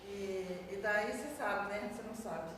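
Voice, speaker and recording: An older woman in her sixties speaks earnestly, growing indignant as she tells her story. She is heard from a distance, in a strongly echoing hall with steady background noise. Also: mid-range voice, moderate pace. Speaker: workshop participant. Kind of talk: personal account. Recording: echoey hall from afar with noise.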